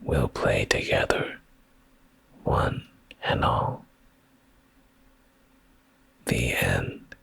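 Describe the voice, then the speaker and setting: A man in an intimate boyfriend ASMR bedtime style, with a deep, gravelly, breathy voice, hushed and soothing, often slipping into whispers close to the microphone. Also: lively intonation, drawn-out words, pauses often, no room sound. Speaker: ASMR streamer reading bedtime stories.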